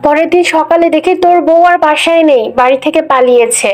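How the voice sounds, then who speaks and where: A woman's voice: sits high, fairly quick, close to an everyday voice. A woman voicing a cruel, two-faced older mother-in-law in a cartoon, scolding and conniving. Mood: feigned concern. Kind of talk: Bengali moral-story cartoon voice acting. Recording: music bed under the voice.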